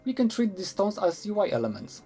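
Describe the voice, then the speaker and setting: A man reading scripted instructional narration in a measured, explanatory manner. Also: sits high, sing-song, warm tone, soft background music. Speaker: tutorial narrator.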